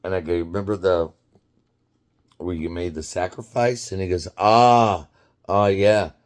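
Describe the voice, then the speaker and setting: A man in his fifties telling a casual story in a rambling, halting way, often laughing as he talks. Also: low voice, steady tone. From United States. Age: 50s.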